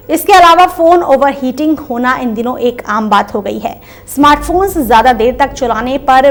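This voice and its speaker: A woman with a distinctly high-pitched voice narrates a scripted news explainer. Her delivery is that of a presenter, formal and instructive, addressing the listener directly.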